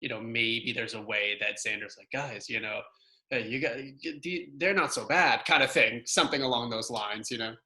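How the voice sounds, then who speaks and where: Very bright-voiced man, casual discussion. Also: medium pitch, normal speed. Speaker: cast member giving script feedback.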